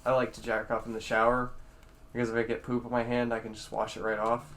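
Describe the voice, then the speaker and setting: A man's voice: medium pitch, completely flat delivery, fast, fairly bright tone, a little hiss or hum. A man hosting a podcast, talking in an easygoing casual way and laughing readily. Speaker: podcast host.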